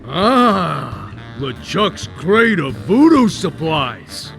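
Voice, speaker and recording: A man's voice: on the high side, big pitch swings, drawn-out words, creaky, fairly bright tone, soft background music, full character voice. Surly, drawling villain's henchman. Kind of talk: video game voice acting.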